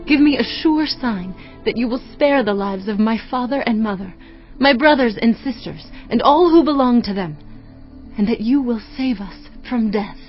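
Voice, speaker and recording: A woman's voice: a little high, expressive, normal speed, lightly acted. Actress playing ancient Jericho woman, earnest, pleading, resolute, audio drama, slightly dull recording. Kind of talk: dramatized audio Bible acting. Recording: slightly dull audio over music bed. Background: faint music.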